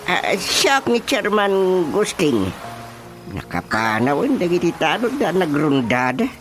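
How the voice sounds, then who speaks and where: A man's voice: high-pitched, big pitch swings, slow, exaggerated voice. A man with an Ilocano Filipino accent playing a flustered, hesitant village chairman in a radio drama, full of exclamations. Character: flustered, easily startled male village chairman. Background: music.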